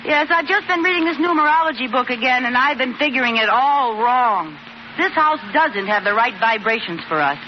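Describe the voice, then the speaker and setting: High-voiced, scheming young housewife. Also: female voice, animated, normal speed. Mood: rueful, scheming. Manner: demanding, theatrical. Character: scheming young housewife posing as a bossy ghost. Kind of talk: 1940s radio sitcom acting. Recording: noisy, muffled old radio recording.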